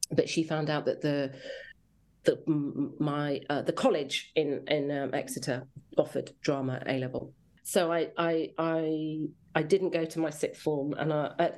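Low-pitched English woman, chatty. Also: a little mellow. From rural Devon, England. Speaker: interview guest, children's drama commissioning editor. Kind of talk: casual reflective interview chat.

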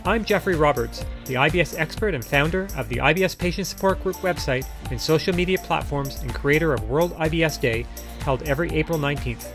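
A man's voice: fairly high pitch, a little fast, music in the background. A middle-aged man reading a scripted introduction as the host of a health podcast. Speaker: podcast host, patient advocate.

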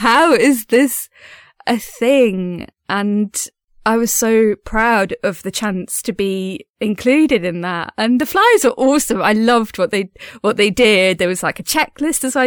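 A woman's voice: mid-range voice, dry recording. Effusive woman in a dry recording. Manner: effusive, enthusiastic, earnest. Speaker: podcast creator and host. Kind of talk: personal audio diary, casual monologue.

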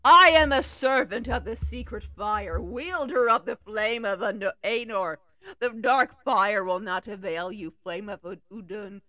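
A woman voicing a pompous old male wizard with booming, solemn declaiming. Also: a little slow, bright, forceful tone, no room sound. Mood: commanding.

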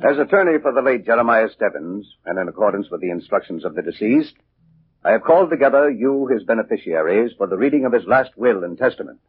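An actor playing a formal, ceremonious family lawyer, husky, in a 1940s radio drama. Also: male voice, lowish voice, animated. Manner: brisk, ceremonious. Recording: thin, tinny 1940s radio recording.

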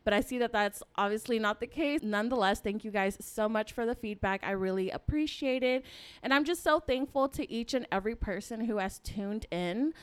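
Young woman podcast host, smooth raspy voice. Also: fairly quick. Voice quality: raspy, low voice. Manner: laughs a lot, says y'all and um. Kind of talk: casual chat. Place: studio set.

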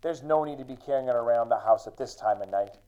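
A man's voice: medium pitch, fast, dark tone, highs cut off, lightly acted. An actor playing a mild, reasonable male farm master admonishing a worker in a period radio drama. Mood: mildly admonishing.

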